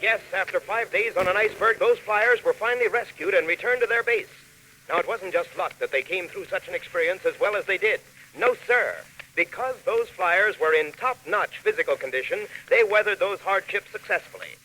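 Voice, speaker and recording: A man's voice: high-pitched, expressive, a little fast, pushed and bright, rough recording. A man delivering a scripted 1940s radio advertisement as a folksy announcer talking to children, on a hissy old recording. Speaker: announcer and cereal pitchman.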